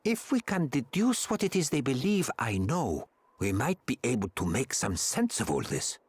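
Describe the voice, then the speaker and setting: An actor playing a courteous, learned male adviser in a video game, husky-voiced, speaking in a formal, measured way. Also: medium pitch, big pitch swings, no room sound. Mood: grave, thoughtful. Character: learned, courteous male scholar and royal adviser held prisoner. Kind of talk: video game cutscene acting. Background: background music.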